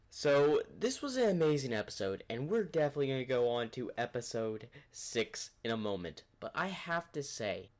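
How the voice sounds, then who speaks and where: Guy reacting on his channel, casual rambly commentary, thinking out loud, voice goes up and down a lot. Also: on the high side. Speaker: reaction video host.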